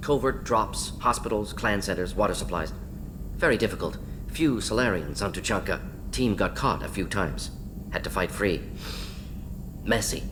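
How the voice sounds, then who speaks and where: A man's voice: on the low side, animated, background noise, close to an everyday voice. An actor playing a brainy, pragmatic male alien scientist in a video game, speaking in clipped, telegraphic phrases. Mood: clinical. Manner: clipped telegraphic phrases, rapid-fire listing. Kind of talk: video game dialogue acting.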